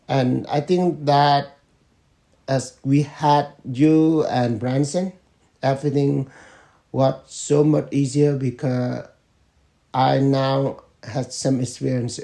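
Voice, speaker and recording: Father in his fifties; slow, mellow, measured delivery. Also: medium pitch, creaky. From Vietnam. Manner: slow, measured answers with pauses and fillers. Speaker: interviewee, father. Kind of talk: family interview.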